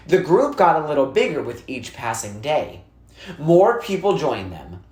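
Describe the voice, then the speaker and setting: A narrator reading a children's novel aloud expressively and deliberately, with dramatic pauses for emphasis. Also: medium pitch. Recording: roomy, echoey sound.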